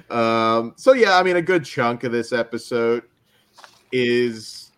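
A man's voice: medium pitch, slow. A man co-hosting a podcast, telling anecdotes in casual wrestling review chat and often chuckling as he talks. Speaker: podcast host.

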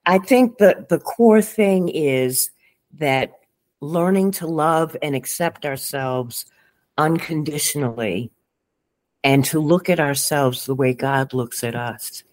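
A mid-pitched man speaks as a therapist guest on a podcast. His talk is gentle, supportive and reassuring, in an easy conversational style. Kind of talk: conversational interview.

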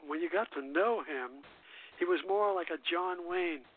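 A man hosting a casual radio show, reading out quotes in a halting way. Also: on the high side. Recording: phone-like narrow-band radio sound.

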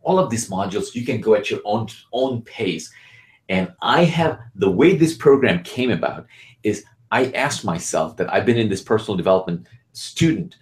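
Guy from bangladesh pitching hard, kinda low voice, echoey room. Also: moderate pace. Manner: energetic, persuasive, emphatic. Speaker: real estate investor pitching a program. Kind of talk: sales pitch talk. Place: Tampa, Florida.

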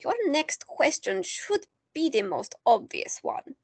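A woman playing an older Greek-born artist and grandmother, calm and dryly teasing in an audio drama. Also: high-pitched, slow pace, no echo, heavily acted. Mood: wry. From Greece.

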